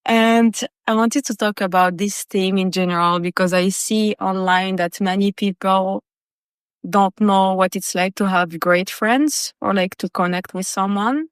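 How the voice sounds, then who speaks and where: A woman hosting a casual conversational interview, speaking in a halting way. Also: medium pitch, fairly even intonation, clean tone, dry recording. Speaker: podcast host.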